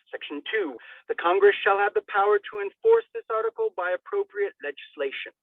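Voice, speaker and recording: A man's voice: sits high, huge pitch swings, a little fast, no echo. A man giving a dramatic, declamatory reading of a formal legal document, with emphatic swells. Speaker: reader. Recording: thin, phone-like band-limited sound.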